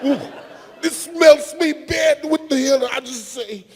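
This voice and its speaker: High-pitched, urgent cartoonish rescuer. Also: measured pace, vocal fry, darkish tone, a faint crowd. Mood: disgusted. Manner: urgent, exclamatory. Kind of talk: live comedic script reading. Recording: echoey convention hall.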